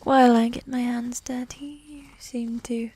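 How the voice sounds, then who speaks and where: A woman playing a possessive, condescending evil warrior queen who dotes on her spouse, speaking in soft, breathy half-whispers in a medieval ASMR roleplay. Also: fairly high pitch, level tone. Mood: gloating, teasing. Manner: slow, regal, formal phrasing with sighs.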